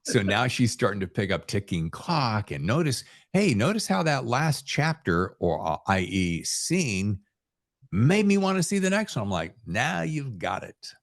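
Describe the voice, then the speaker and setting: A man's voice: medium pitch, very animated, measured pace, creaky. A middle-aged man hosting a casual podcast chat, rambling and salty-tongued as he tells his stories. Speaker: podcast host.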